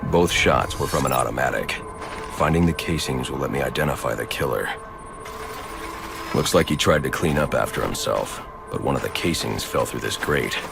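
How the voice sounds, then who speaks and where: An actor playing a grim, stern vigilante detective in a video game, speaking in a low gravelly growl with clipped, deadpan, analytical delivery. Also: male voice, moderate pace, music in the background. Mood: grim, focused.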